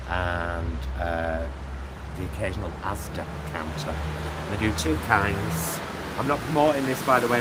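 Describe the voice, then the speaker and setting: A man talking casually to viewers in a halting, reflective ramble, often ending thoughts with little tag questions. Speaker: vlogger. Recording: loud vehicle and street ambience noise. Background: loud vehicle rumble and ambience.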